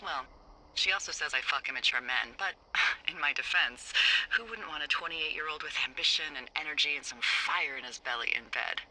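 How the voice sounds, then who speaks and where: A woman's voice: low-pitched, fairly quick, sharp tone, full character voice, low-quality recording. An actress playing a teasing, brash middle-aged woman fire lookout in naturalistic video game dialogue, with a flippant, familiar manner. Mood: playful, wry.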